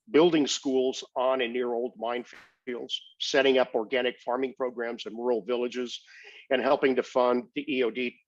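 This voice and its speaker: An older man with an American accent gives a prepared personal account in a measured, explanatory way, as a guest speaker. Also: mid-range voice, gravelly, no echo, highs cut off. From United States. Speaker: humanitarian aid worker, guest speaker.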